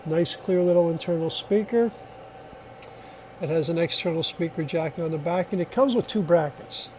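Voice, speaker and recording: A man's voice: a little high, normal speed, noisy background. A man giving a casual hands-on product demonstration, explaining step by step in a plainspoken way. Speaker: product reviewer.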